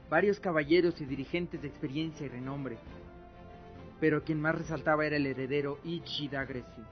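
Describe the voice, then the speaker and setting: A man's voice: fairly high pitch, lo-fi sound. A man reading scripted dramatic narration as the storyteller of an audio drama.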